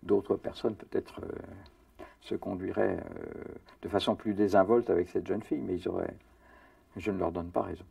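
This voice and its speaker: Older man with a gravelly, deep voice. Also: fairly even intonation, moderate pace, mellow, dry recording. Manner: hesitant, amused, many fillers. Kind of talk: casual interview talk. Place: indoor room. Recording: dull, slightly distant, dry.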